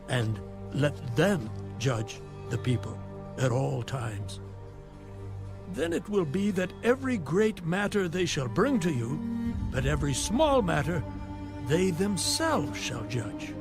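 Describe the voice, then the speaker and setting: Slow, earnest biblical father-in-law. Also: fairly high pitch, gravelly, heavily acted. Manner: measured, earnest, advisory. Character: wise father-in-law giving earnest, measured counsel. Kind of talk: dramatized scripture audiobook. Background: music.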